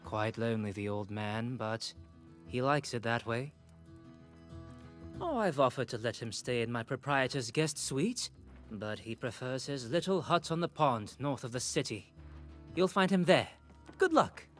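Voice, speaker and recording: An actor playing a genial, caring innkeeper in a video game, chatty and obliging. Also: male voice, medium pitch, unhurried, a bit bright, faint music behind, natural voice. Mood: fond concern. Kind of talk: video game character dialogue.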